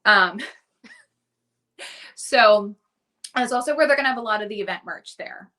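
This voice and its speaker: Bright-voiced woman with a Baltimore accent. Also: mid-range voice. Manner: rambling, full of "like" and "um", quick self-corrections. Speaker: podcast co-host. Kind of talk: casual chat.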